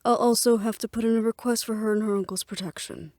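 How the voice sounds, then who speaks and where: A woman acting a guarded, businesslike plotter in an audio roleplay drama, speaking in a measured, serious way. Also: a little high, steady tone, a little mellow, no room sound, studio quality, lightly acted.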